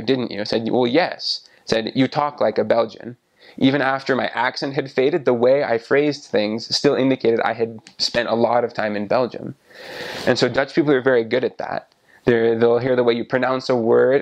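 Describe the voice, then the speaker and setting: A man in his twenties talking casually to the camera about his missionary experience, relaxed and often restarting his sentences, recorded on a lapel mic in a small room. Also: mid-range voice, normal speed. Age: 20s. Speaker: returned missionary. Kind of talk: casual explanatory talk to camera. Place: small indoor room by a window.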